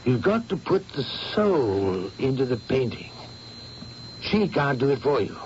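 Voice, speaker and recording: An actor playing a worldly, teasing friend of the painter, talking persuasively in an old radio drama. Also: male voice, medium pitch, sing-song, measured pace, a little mellow, slight background noise. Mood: advising. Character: worldly male art friend, lively and teasing. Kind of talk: 1970s radio drama acting. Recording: dull lo-fi old radio recording.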